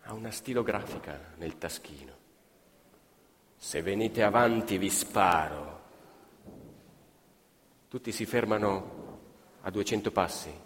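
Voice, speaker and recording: A man's voice: lowish voice, takes it slowly, pauses often, slight background noise. A man narrating history theatrically, in emphatic, declamatory short phrases. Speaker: stage actor and storyteller. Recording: reverberant hall.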